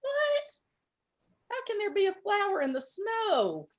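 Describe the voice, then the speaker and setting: Storybook groundhog, boastful and shouty, high and lively, put on by a woman reading aloud. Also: unhurried. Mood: amazed. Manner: excited, shouting, voice getting hoarse. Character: boastful excitable male groundhog, small.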